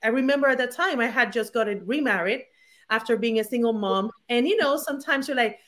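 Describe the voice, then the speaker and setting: Guest: Puerto Rican woman, 40s, higher voice, energetic and candid, talking from home. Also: fairly quick, slight background noise. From Puerto Rico. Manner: energetic, candid, frequent fillers and restarts. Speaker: guest, former attorney. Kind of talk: casual interview from home. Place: her home.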